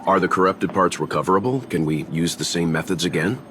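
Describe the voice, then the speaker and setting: A man playing a calm, analytical, courteous investigator in a video game, matter-of-fact in his delivery. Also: sits low, fairly quick, noisy background. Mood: inquiring.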